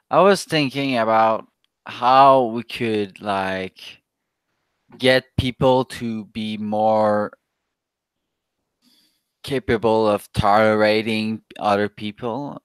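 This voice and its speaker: A man from Quebec whose first language is French, speaking English with a Québécois accent in a casual voice chat, halting and searching for words, laughing often. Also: lowish voice, smooth, dry recording. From Quebec, Canada.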